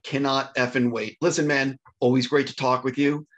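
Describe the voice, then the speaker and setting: Quick-talking interviewer on a video call. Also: male voice, medium pitch, little pitch movement, fairly bright tone, dull audio. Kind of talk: casual interview questions.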